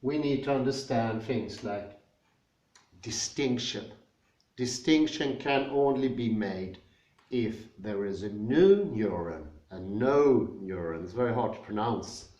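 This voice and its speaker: A man with a Swedish accent giving a spoken lecture, thoughtful and pausing often with fillers. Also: mid-range voice, expressive, a little mellow. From Sweden. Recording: echoey room, mic at a distance.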